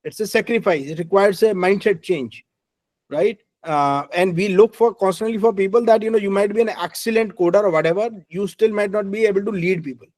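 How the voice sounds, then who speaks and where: Loud fast-talking Indian English man. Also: high voice, dry recording. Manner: loud, emphatic, peppers speech with "right?". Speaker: guest speaker, engineering head. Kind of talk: career advice talk, mixing English and Hindi.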